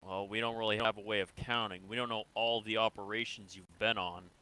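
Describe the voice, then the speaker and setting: A man playing a patient, reassuring comrade in a sci-fi game roleplay, asking questions in a measured, coaxing way. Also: on the low side, steady tone, bright, forceful tone, clean tone, rough recording. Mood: patient, probing. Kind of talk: improvised online roleplay acting.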